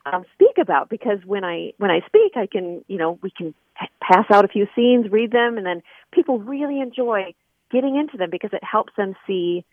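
A woman speaking as an interview guest over a phone, conversational and reflective, pausing as she gathers her thoughts. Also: medium pitch, lively intonation, dry recording. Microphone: telephone call. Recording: narrow, tinny phone line.

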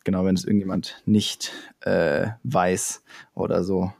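A man's voice: deep voice, flat delivery, measured pace, creaky, darkish tone. A young man in casual chat, explaining ideas step by step with frequent fillers and restarts.

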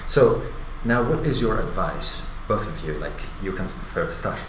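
Host, male, very low voice, halting delivery, Kurdish native, echoey noisy room. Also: lively intonation. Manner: hesitant, halting with many fillers. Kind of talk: casual panel discussion. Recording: echoey room with background noise.

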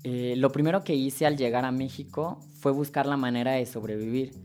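A man, calmly reading his own migration testimony. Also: medium pitch, brisk. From Honduras. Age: 20s. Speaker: featured migrant telling his story. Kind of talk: read personal testimony. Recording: music bed under the voice.

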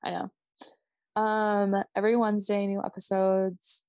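A woman's voice: mid-range voice, level tone, moderate pace, a little mellow, no echo. Rambling Minnesotan woman podcast host. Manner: rambling, self-interrupting, laughing, swearing. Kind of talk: casual chat.

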